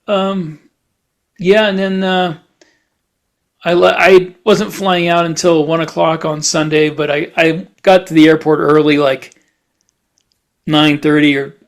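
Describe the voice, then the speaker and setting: A man with a fairly high, gravelly voice talks casually on a podcast. He rambles in a halting way, often doubling back on his words as he goes. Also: little pitch movement. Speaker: podcast host, writer. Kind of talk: casual chat.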